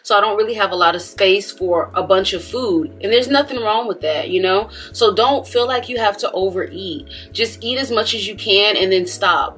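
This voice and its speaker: A woman's voice: mid-range voice, a bit bright. A young woman chatting casually to camera in a vlog, talking with her hands and laughing now and then, in a dim indoor room. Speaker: vlogger.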